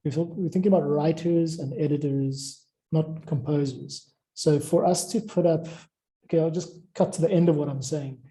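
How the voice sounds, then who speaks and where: A man's voice: a little high, fairly even intonation, normal speed, dark tone, rough recording. A man in an informal, discursive chat, explaining his ideas as he goes. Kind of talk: informal chat about craft.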